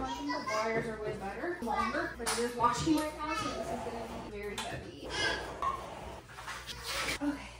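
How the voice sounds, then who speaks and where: Young woman, higher voice, casual rambling home-vlog chatter, caught off-mic in a bare echoey room. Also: distant mic. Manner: chatty, rambling, thinking aloud while working. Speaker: home vlogger. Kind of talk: casual cleaning vlog chat. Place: small laundry room indoors. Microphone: camera across the room. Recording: echoey room, off-mic, loud music bed.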